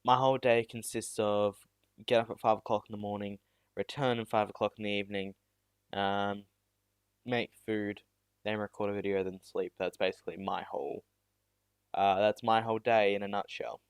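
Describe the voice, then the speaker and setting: A man's voice: fairly low pitch, little pitch movement, moderate pace, clean tone, no echo. A teenage boy from South Australia, chatting casually with viewers on a live stream, rambling and making fun of himself. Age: teens. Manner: rambling and self-deprecating, with many restarts. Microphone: new USB mic.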